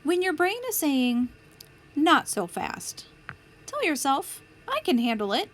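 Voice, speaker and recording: A woman reading a picture book aloud as a storytime host, with deliberate, clear phrasing for young children. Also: sits high, very animated, a little hiss or hum. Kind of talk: children's read-aloud narration.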